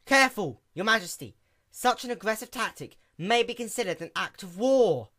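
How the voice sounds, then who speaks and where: A man's voice: high voice, expressive, normal speed, pushed and bright, voice pushed up. A man voicing an earnest, dutiful female sea pony attendant who explains things formally. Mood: alarmed.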